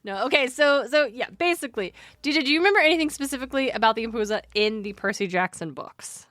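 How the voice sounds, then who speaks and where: A woman's voice: a little high, lively intonation, fast talker, fairly bright tone, no echo, clean studio sound. A woman hosting a podcast in casual, chatty banter, with an informal, wry way of talking. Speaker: podcast host.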